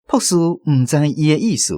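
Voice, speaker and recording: A man's voice: fairly high pitch, lively intonation, moderate pace, mellow, no echo, studio quality. A man with a Taiwanese accent narrating a radio drama as a storyteller.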